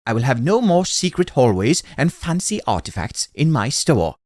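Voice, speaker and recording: Indignant storybook wife, voiced by a man: raised high pitch, commanding, fretful, exclaiming. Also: very animated, normal speed, a little mellow, no echo, clean studio sound. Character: worried, bossy wife and shopkeeper, indignant.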